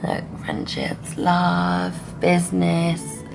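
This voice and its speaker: Croaky, chatty woman in her twenties. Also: on the low side, drawn-out words, music in the background, low-quality recording. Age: 20s. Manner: chatty, excitable, lots of filler words. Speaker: startup founder. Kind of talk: casual vlog chat to camera.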